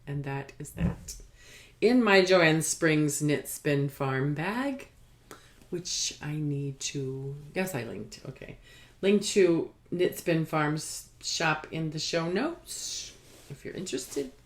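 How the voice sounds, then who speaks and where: Slow, low-voiced woman chatting haltingly. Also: lively intonation. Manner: halting, trailing off, talking to herself. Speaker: podcast host showing knitting and spinning. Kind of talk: casual rambling video podcast chat.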